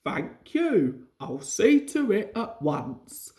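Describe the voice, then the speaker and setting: A kindly railway boss from a storybook, acted out by a woman reader. He speaks slowly and with authority, in measured exclamations. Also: medium pitch, big pitch swings, warm tone. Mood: astonished, concerned. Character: astonished, kindly, authoritative male railway controller.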